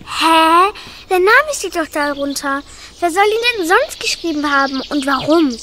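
Woman voicing a curious young rescuer, very high voice, faint birds outside. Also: animated, unhurried, background noise. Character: Janno, young fairy-tale rescuer, curious and impulsive. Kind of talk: children's radio play acting.

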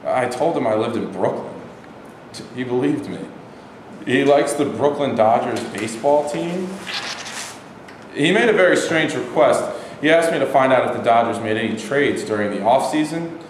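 Measured, formal military interrogator, coaxing, mid-pitched, stage reading with long reverb and faint noise, played by a young man. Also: expressive, natural voice. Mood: businesslike. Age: young adult. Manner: formal, restrained, persuasive. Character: measured, coaxing male Nazi officer interrogator. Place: indoor rehearsal room, camera mic. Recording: very echoey room, faint background noise.

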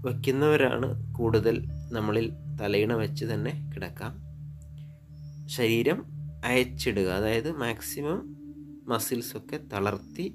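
A man with a Malayali accent guiding sleep hypnosis meditation, soothing and unhurried, pausing between short phrases over relaxing music. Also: fairly low pitch. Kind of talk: guided sleep hypnosis narration. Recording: relaxing music with singing bowls behind.

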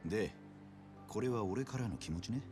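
A male actor voices a middle-aged loan shark in a game cutscene. He speaks in a low voice with an easy, patronizing manner. Music runs underneath. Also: lively intonation, moderate pace, close to an everyday voice. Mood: smug, easygoing. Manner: casual, condescendingly generous.

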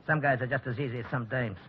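An actor playing an insolent, menacing gangster with slangy tough talk, in 1940s radio style. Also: male voice, close to an everyday voice. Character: sneering, insolent male gangster in flashy rings. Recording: dull vintage radio recording with rumble.

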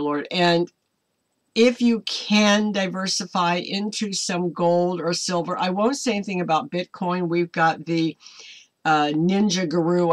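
An American woman in her seventies preaching informally to camera at home, earnest and exhortatory in a deliberate way. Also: lowish voice. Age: 70s. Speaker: online ministry preacher. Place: small cluttered home room, indoors.

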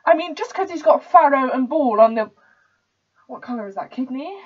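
A woman's voice: high voice, expressive, normal speed, far from the mic. A woman playing an arrogant posh young English club member in a sneering, indignant stage monologue. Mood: sneering scorn. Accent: posh English, put on. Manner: sneering, indignant, sweary ranting. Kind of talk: dramatic stage monologue reading. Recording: dull, lo-fi home recording.

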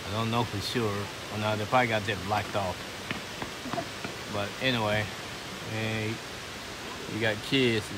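A man casually narrating a tour he films on a handheld camera, outdoors and then inside a cave, often exclaiming in wonder. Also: fairly low pitch. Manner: easygoing, keeps exclaiming wow. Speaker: tourist filming a tour. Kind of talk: casual vlog commentary. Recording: loud hiss and waterfall noise.

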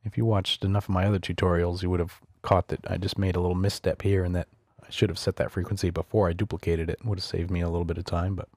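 Male screencast tutor, casual and explanatory, low-voiced with vocal fry. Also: little pitch movement, quick pace, few pauses, a little mellow, dry recording, studio quality. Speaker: software tutorial instructor. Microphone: close studio voice-over microphone.

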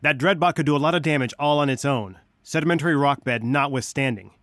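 An actor playing an earnest scientist who leads a resistance, guiding heroes through video game missions in a measured, dryly wry way. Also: male voice, fairly high pitch, a bit bright, no echo. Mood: calm, wry.

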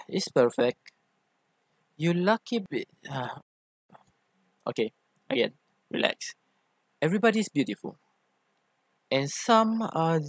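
A man voicing a playful, doting, easily jealous femboy boyfriend in an ASMR roleplay, with a teasing, expressive delivery. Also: a little high, no echo, heavily acted. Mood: teasing, soothing. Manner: teasing, gushing, expressive, drawn-out emphasis. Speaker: ASMR voice actor.

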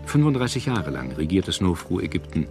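A man reading scripted documentary narration in a measured, formal manner. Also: deep voice, sing-song. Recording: music bed under narration. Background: background music.

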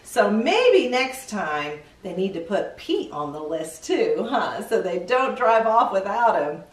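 Lady hosting storytime for little kids, slow n animated, bit far from the mic w room echo. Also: mid-range voice. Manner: expressive, questioning, drawn-out key words. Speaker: children's read-aloud show host. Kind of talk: animated read-aloud to young children. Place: indoor studio with green screen, seated. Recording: roomy echo, distant microphone.

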